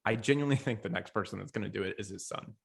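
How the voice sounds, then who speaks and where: A man's voice: fairly low pitch, rapid-fire, gravelly, slightly rough. A young American man co-hosting a podcast in casual sports chat. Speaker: podcast co-host.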